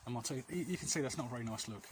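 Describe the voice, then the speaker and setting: Man, noisy outdoor recording, medium pitch, casual confessional vlog, hesitant, rambling, self-deprecating. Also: rapid-fire. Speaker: vlog host. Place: outdoors, quiet street and alleys.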